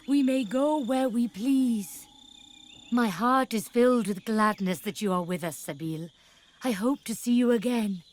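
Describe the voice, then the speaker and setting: An actress playing a gentle freed elf in a fantasy video game, with earnest, formal, measured speech. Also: female voice, on the high side.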